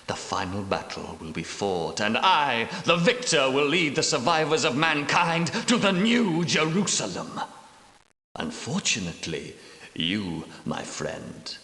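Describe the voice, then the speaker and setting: An actor playing a solemn, commanding medieval knight and prophetic leader, speaking in grave, formal, archaic oratory for a video game. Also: male voice, mid-range voice, pushed and bright, exaggerated voice. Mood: triumphant, prophetic. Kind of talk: theatrical video game character dialogue. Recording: roomy echo, slightly distant from mic.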